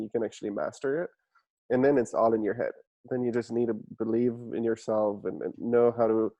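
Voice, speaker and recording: A man's voice: medium pitch, dark tone, no room sound. A man in his thirties from Germany with a German accent, answering interview questions in an earnest, reflective way with frequent restarts. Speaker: guest entrepreneur.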